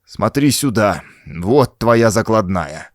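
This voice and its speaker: A man voicing a frightened, bullying old man in an audiobook, hurried, nervous and imperious. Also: lowish voice, lively intonation, no room sound, clean studio sound.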